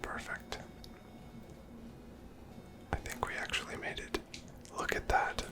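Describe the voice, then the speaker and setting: A person whispering softly and breathily, giving gentle, casual ASMR commentary while playing a video game. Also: slow pace, music in the background. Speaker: host of a gameplay series.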